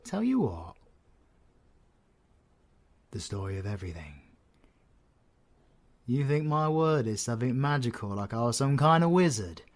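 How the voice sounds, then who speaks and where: Slow-talking cocky British supervillain, acted by a man. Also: mid-range voice, sing-song. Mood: cocky, taunting. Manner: taunting, slangy, swears, teasing endearments. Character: cocky menacing British supervillain, flirtatious and taunting. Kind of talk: audio roleplay, villain ASMR acting.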